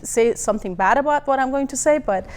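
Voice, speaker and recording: A woman's voice: high-pitched, a little fast, a little mellow. A woman from Iran, a singer interviewed as a guest, answering earnestly and often restarting her sentences.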